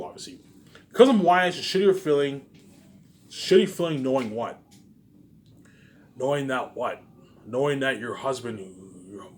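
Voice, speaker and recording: A man's voice: medium pitch, a little hiss or hum. A man giving a rambling, informal monologue, repeating his phrases and swearing often, with words tagged onto the ends of sentences. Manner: repetitive, circles back to the same phrases; frequent swearing. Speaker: solo video speaker.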